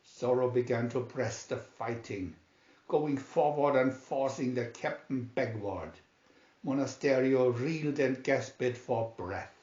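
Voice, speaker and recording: Guy narrating an adventure storybook, slow and dramatic, muffled with room echo. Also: mid-range voice, a little mellow. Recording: dull, roomy home recording.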